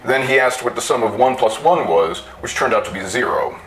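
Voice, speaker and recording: A man giving a theatrical story reading with deliberate emphasis. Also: medium pitch, animated, fairly bright tone, rough recording. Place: small indoor room with bookshelves.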